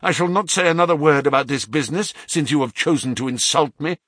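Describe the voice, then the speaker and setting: A man's voice: mid-range voice, very animated, moderate pace, a bit bright, dry recording, studio quality. A man voicing a proud young son wrongly accused, defiant, formal and passionate, in an audiobook story. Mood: indignant.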